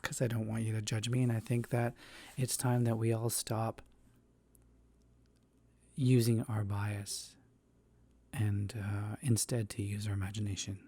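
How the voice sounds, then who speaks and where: A man speaks in a low, unhurried voice, musing aloud through a personal monologue. He hesitates often, restarting phrases and filling gaps as he thinks. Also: flat delivery, warm tone, slightly rough. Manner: thoughtful, hesitant, many fillers and restarts. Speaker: theatre artist. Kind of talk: reflective spoken monologue. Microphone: voice-over, face not shown.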